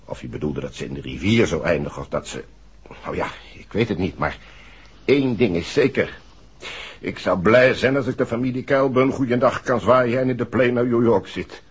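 An actor playing an earnest, confiding man with a husky voice in a 1960s Dutch radio drama. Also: fairly low pitch, normal speed. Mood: uneasy, confiding. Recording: muffled old radio recording.

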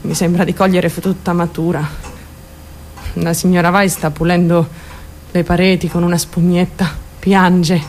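A woman's voice: lowish voice, little pitch movement, measured pace, a little hiss or hum, close to an everyday voice, rough recording. An actress playing a troubled, volatile young woman in a dramatic radio monologue, intense and raw as she recounts her traumatic story. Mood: numb, fragile. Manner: intense, swinging between outcry and hushed narration.

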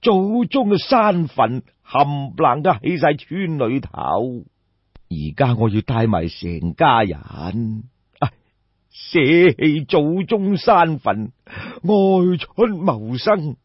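A man voicing a destitute old peasant in an audiobook story, sorrowful and respectful, with sighing, plaintive, drawn-out emphatic phrases. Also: a little high, very animated, husky, dry recording, exaggerated voice. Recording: dull, muffled lo-fi audio.

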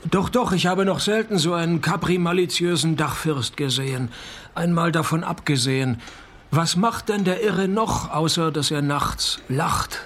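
An actor playing a laconic, wisecracking private detective in a radio play, dry and sardonic. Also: male voice, on the high side, moderate pace, fairly bright tone. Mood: dry sarcasm. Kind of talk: radio play narration and dialogue.

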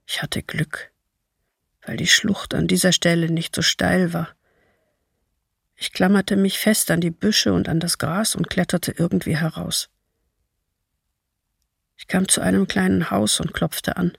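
A man voicing a young mother who survived a massacre, reading her testimony gravely and with restraint in a husky voice for radio. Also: high-pitched, little pitch movement, a little slow, pauses often, a bit bright, dry recording, clean studio sound, exaggerated voice. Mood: haunted, restrained.

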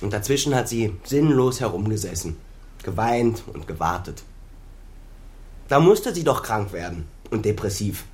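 An embittered grown son in a radio drama. His voice sits fairly low, and he speaks in cutting, accusing phrases, full of reproach toward the father he is estranged from. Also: very animated, normal speed, darkish tone. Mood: bitter, accusing. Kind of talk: naturalistic radio drama acting.

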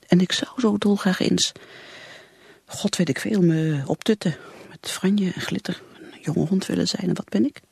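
An actress playing a bitter, driven middle-aged career woman who drinks, in a radio play, with a breathy, halting, brooding delivery. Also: lowish voice, a little mellow, no echo, exaggerated voice. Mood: frustrated, craving drink.

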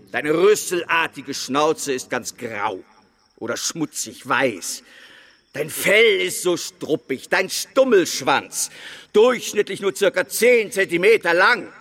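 A fervent preacher delivering a radio drama sermon. His voice sits fairly high and moves slowly and solemnly, declaiming each phrase. Long hall reverb surrounds every word. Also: a bit bright, full character voice. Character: fervent reverend preaching a strange animal parable.

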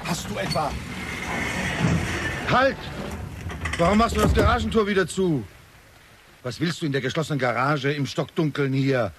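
A man's voice: a little high, normal speed, pauses often, fairly bright tone, a little hiss or hum, close to an everyday voice. An actor playing a bitter, exasperated middle-aged father who is easily enraged, in a German radio drama. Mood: irritated, suspicious. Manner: exasperated, scolding, brooding.

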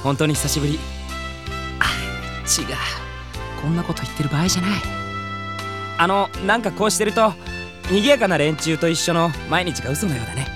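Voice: mid-range voice, expressive, fairly quick, pauses often, music in the background, lightly acted. An actor playing an earnest, flustered, lovesick teenage boy who scolds themself in an anime audio drama. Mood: flustered, nervous.

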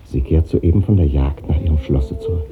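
Very deep-voiced courtly knight. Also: male voice, loud music behind the voice. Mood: suave, inviting. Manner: measured, formal, courtly. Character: smooth, courteous, shadowy male knight. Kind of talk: 1950s German radio drama acting. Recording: muffled narrow-band old radio recording.

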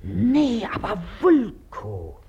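Bossy husky washerwoman mom, radio comedy, broad dialect, scolding, pitch all over the place. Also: wildly swooping pitch, drawn-out words, warm tone, muffled recording, full character voice. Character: shrewd, bossy, resolute washerwoman and mother. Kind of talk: stage-comedy radio acting.

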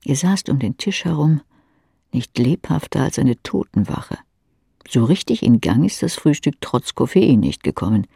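A woman's voice: rumbling low, very animated, normal speed, vocal fry, warm tone, husky, no room sound, studio quality. A woman reading scripted literary narration in the second person for an audio drama.

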